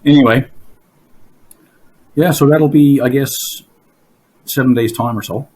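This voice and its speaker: A hoarse man hosting a casual podcast from his basement, rambling through stories and laughing at his own jokes. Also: medium pitch, fairly even intonation, unhurried, darkish tone. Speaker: podcast host. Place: home basement.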